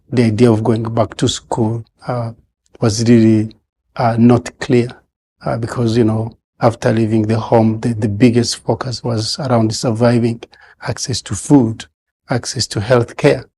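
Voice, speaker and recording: A man who grew up as a refugee, telling his story in an interview, halting with many fillers and pauses. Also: mid-range voice, level tone, mellow, slightly rough. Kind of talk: interview testimony.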